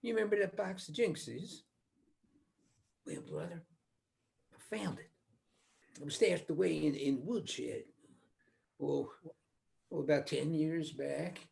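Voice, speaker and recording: A man acting out a gentle country coal miner in a stage play. His Appalachian voice is full of gravelly creak. He speaks in a folksy, hesitant, coaxing way, protective of his kin. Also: a little high, takes it slowly, long pauses, exaggerated voice. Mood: confiding, uneasy.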